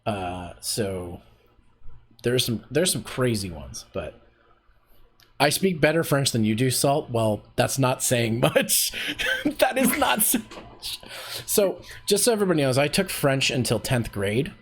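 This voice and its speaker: A man's voice: mid-range voice, very animated, measured pace, long pauses, breathy edge. A man hosting a casual voice acting workshop on a livestream, chatty and self-deprecating, often laughing at himself.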